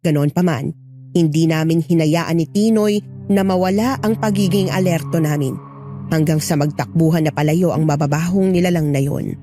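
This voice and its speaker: A woman's voice: sits low, moderate pace, dark tone. A woman with a Filipino accent reading a scripted horror story as its narrator. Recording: music bed behind narration.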